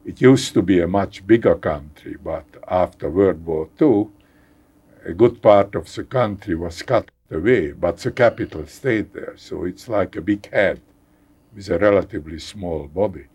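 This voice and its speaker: An older man from Hungary with a Hungarian accent, speaking haltingly as a guest in a conversational interview, repeating words and chuckling wryly. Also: low-pitched, darkish tone, husky. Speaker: guest scientist.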